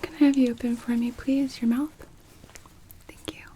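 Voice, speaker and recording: A woman in a whispered ASMR roleplay as a doctor, slowly giving gentle instructions during an exam. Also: a little high, little pitch movement, background noise.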